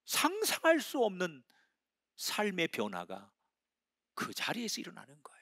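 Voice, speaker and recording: A middle-aged male pastor preaching a sermon, telling the story dramatically and swinging between hushed whispers and loud exclamations. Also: high-pitched, huge pitch swings, moderate pace, creaky, slightly rough.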